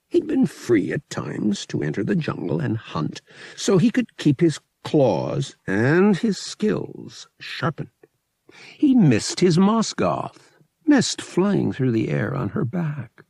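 Gravelly, husky male audiobook narrator. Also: fairly low pitch, darkish tone, dry recording, clean studio sound. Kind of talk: scripted dramatic narration of science fiction.

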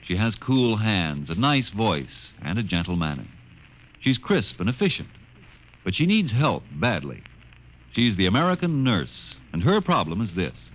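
A man's voice: fairly low pitch, sing-song, measured pace, poor audio. A man delivering an earnest, persuasive public service appeal on 1950s radio.

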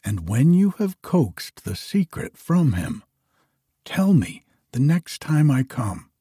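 A man's voice: medium pitch, big pitch swings, slow pace, dark tone, slightly rough, no echo, studio quality, voice pushed up, full character voice. Man playing an earnest young prince.

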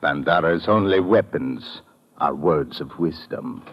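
An actor playing a pompous, serene fake mystic in a 1950s radio drama, solemn and grand, with a gravelly voice. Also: male voice, a bass voice, unhurried. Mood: lofty, serene. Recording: muffled, noisy vintage radio recording.

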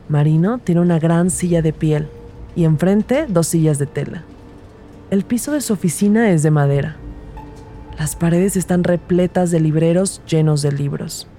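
A woman's voice: low-pitched, mellow, lightly acted. A woman playing an observant, empathetic rookie detective who narrates an audio drama in the first person.

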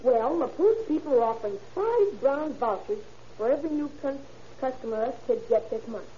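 An old radio drama schoolboy, earnest and eager. The voice is high and soft-edged, with boyish slang. The recording is muffled. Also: expressive, moderate pace, a little hiss or hum. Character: earnest eager schoolboy son, nearly grown. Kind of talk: 1940s radio acting.

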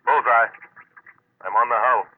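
An actor playing a cool, laconic deep-sea diver in an old American radio drama, dry and matter-of-fact with an American accent. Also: male voice, steady tone, unhurried, lightly acted. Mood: terse, satisfied. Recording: noisy, narrow-band old radio recording.

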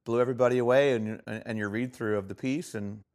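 A man's voice: mid-range voice, steady tone, a little mellow. A man interviewing a former student, agreeable and often restarting his sentences. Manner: agreeable, frequent backchannels, halting restarts. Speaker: interviewer, former college teacher. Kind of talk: conversational interview.

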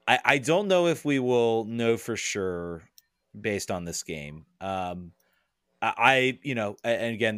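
A man's voice: fairly low pitch, a bit bright, clear, resonant tone, dry recording, clean studio sound. A man talking as a podcast guest in casual chat, hesitant and often repeating words as he thinks aloud.

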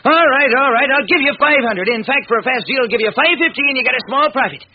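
High-voiced, pushy folksy haggler. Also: male voice, fast. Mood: impatient. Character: short, stocky, eager, pushy male land buyer. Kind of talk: old-time radio drama acting. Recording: dull old radio recording.

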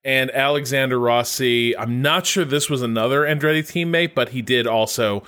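A man co-hosting a motorsport podcast, telling stories casually and excitedly, acting out what people said. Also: mid-range voice, moderate pace, clean tone.

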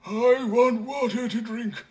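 A man voicing a parched storybook man with an endless thirst, in a high, very slow drawl. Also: fairly even intonation, rough recording. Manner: drawling, pleading.

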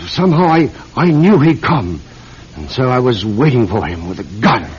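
An actor playing a bewildered, distraught man making a confession, halting and overwrought in an old-time radio drama. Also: mid-range voice, sing-song, husky. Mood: haunted. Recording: dull, muffled classic radio recording.

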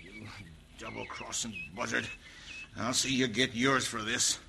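A man acting a gruff, plain-spoken rancher with an American Western accent in an old radio western, on an old recording. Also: medium pitch, little pitch movement, unhurried, noisy background. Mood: angry.

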